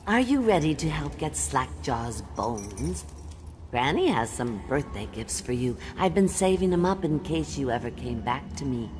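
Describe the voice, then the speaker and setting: A woman's voice: rumbling low, big pitch swings, unhurried, background noise, full character voice. A woman playing a mad old witch in a game, crooning with grandmotherly menace. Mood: doting.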